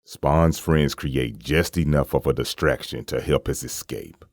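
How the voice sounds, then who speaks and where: Crazy deep gravelly guy narrating a dark comic, measured and ominous. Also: no room sound, studio quality. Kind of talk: dramatic scripted comic-book narration.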